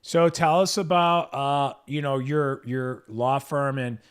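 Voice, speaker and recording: A man's voice: medium pitch, steady tone, slow pace, clean tone. A middle-aged man hosting a podcast interview, a trial lawyer himself, speaking casually and conversationally with a lot of "you know"s. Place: podcast studio.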